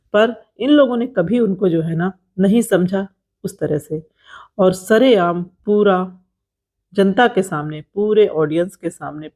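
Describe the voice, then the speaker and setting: Indian woman with a mellow, soft-toned voice. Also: lowish voice. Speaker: vlogger commenting on creator drama. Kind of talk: informal narrated gossip commentary.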